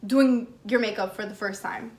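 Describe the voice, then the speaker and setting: A young woman hosting a casual interview show, chatty and eager, reacting with quick exclamations. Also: on the high side, steady tone, darkish tone, a little hiss or hum.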